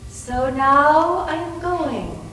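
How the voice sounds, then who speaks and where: A woman's voice: high voice, sing-song, very slow, fairly bright tone, full character voice. A woman performing a fragile, desperate young woman in a dramatic readers theater piece, earnest and emotive. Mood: defiant elation. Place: large library room, live staged reading. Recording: distant echoey room, noisy live recording.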